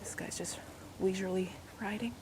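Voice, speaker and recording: A woman, hoarse and a bit raspy from a cold, chatting casually as she walks through a park and vlogs on a handheld camera, often dropping into soft asides. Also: fairly even intonation, normal speed, noisy background. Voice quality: raspy, slightly deep. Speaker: walking vlogger. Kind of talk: casual walking commentary. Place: outdoors in a park while walking. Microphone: handheld gimbal camera mic. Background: faint outdoor ambience.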